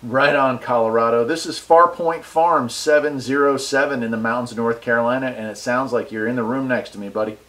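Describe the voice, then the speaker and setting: An American man chats casually as he reviews gear for a video. His voice sits at a middle pitch, and he sounds enthusiastic and folksy. He is recorded on a wireless mic. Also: steady tone, fairly quick, few pauses, fairly bright tone. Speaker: radio hobbyist and video reviewer. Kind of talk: casual product review and radio chat. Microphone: wireless mic set between him and the radio.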